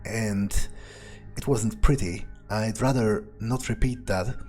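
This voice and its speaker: A man's voice: fairly low pitch, slow pace, darkish tone, soft background music. Man playing a musing, condescending vampire. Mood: grudging, calculating. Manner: hesitant, musing aloud. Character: scheming, condescending male vampire, reluctant servant of a prince. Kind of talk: improvised in-character game playthrough monologue.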